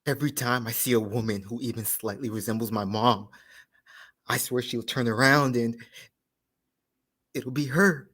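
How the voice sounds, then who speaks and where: A man's voice: mid-range voice, fairly even intonation, fairly bright tone, lightly acted. Actor as a bitter, grieving young man. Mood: distraught. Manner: emotional, halting, with heavy pauses and repeats. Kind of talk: dramatic stage monologue.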